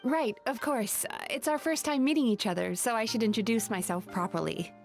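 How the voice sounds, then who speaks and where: Young female yokai, video game character, gentle, polite, apologetic, fairly high voice, music behind. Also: moderate pace, creaky. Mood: friendly, explaining. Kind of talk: scripted video game voice acting. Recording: music under the voice.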